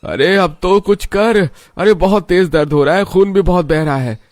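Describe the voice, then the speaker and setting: A man's voice: sits high, normal speed, darkish tone, pitched above the performer's own voice, natural voice. Man voicing a plaintive poor village potato seller. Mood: crying in pain.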